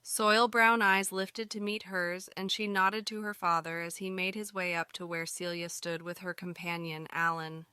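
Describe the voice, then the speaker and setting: Very crisp female audiobook narrator. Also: lowish voice, steady tone, moderate pace, hardly any pauses, pushed and bright, no room sound, clean studio sound. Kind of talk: scripted narration.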